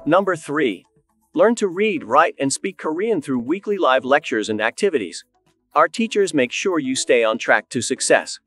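A man's voice: fairly high pitch, moderate pace, soft background music. A man in his thirties, a school presenter, giving a polished scripted promotional talk to camera in a studio. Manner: polished, even delivery to camera. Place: studio, voice-over on graphics.

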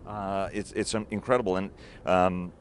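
A man's voice: low voice, flat delivery, moderate pace, a little hiss or hum. A middle-aged man interviewing outdoors at a waterfront with a handheld mic, asking long, detailed, informed questions. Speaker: interviewer, editor. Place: outdoors on a wooden ship at a city waterfront.

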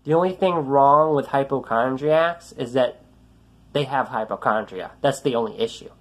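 A young man with a light, youthful voice vents into a phone held at arm's length. He rambles, swears and starts his sentences over. His pitch sits in an ordinary middle range. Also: normal speed. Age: young adult. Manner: frustrated, rambling, swearing, many restarts. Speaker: vlogger. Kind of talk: ranting selfie vlog. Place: small room indoors, then outdoors on a road.

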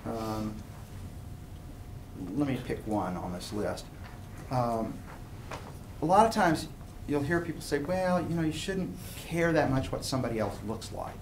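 Older man, college professor, medium pitch, informal classroom lecture, conversational, rhetorical check-ins, slightly noisy recording. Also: darkish tone. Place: university classroom, standing at lectern. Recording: classroom recording with clear background noise.

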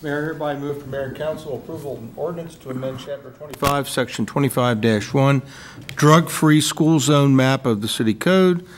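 A man's voice: mid-range voice, fairly quick, slight background noise. A man on a city council formally reading a motion aloud. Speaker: council member.

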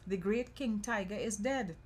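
A woman's voice: medium pitch, normal speed, a little mellow, husky, natural voice. Woman as gossipy market townsfolk, storybook, emphatic, matter-of-fact.

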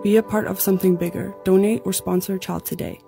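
A woman's voice: medium pitch, fairly even intonation, fast talker, creaky. Woman narrating a promo over music. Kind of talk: scripted charity promo narration. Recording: produced promo with prominent music. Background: loud music bed.

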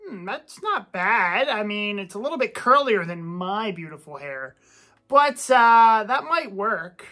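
A man's voice: high-pitched, very animated, measured pace, sharp tone, full character voice. A man playing a boastful, touchy young gamer who talks cocky and gets defensive when teased. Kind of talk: comedy gameplay sketch.

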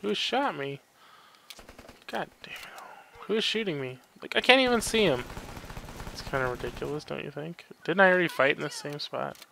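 Man, sharp forceful voice, slightly high, casual sweary gameplay commentary, game gunfire noise behind. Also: expressive, normal speed, creaky, pauses often. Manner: sweary, grumbling reactions to play. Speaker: video game player.

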